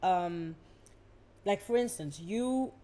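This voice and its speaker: A woman's voice: on the low side, lively intonation, takes it slowly, warm tone. A young woman from the Netherlands, hosting a podcast and chatting casually with her guest. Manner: chatty, asks questions, frequent fillers. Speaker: podcast host.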